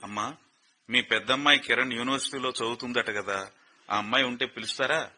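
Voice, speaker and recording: An air-base commander in a radio play who speaks with formal, grave care on a phone call. His voice is sharp and bright but slightly husky. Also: medium pitch, fairly quick. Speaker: military officer.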